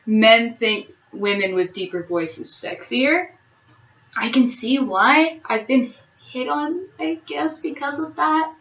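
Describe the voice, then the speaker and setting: A young woman with a bright, lively voice chats in a rambling, giggly way and often laughs at herself. She sounds a little distant from the mic, and the dull recording has a roomy echo. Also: on the high side. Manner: giggly, rambling, self-mocking. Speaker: channel co-host. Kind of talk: casual chat game with friends.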